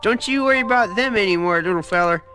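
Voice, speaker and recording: A man's voice: sits high, quick pace, over music, voice pushed up, close to an everyday voice. An actor voicing a kindly, heroic young panther who reassures his little brother. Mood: reassuring. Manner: reassuring, upbeat.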